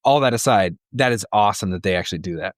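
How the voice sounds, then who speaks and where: A man's voice: fairly low pitch, expressive, quick pace, no echo, clean studio sound. Blunt, sweary man on a podcast. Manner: sweary, blunt, emphatic ranting. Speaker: podcast co-host. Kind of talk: casual opinionated banter.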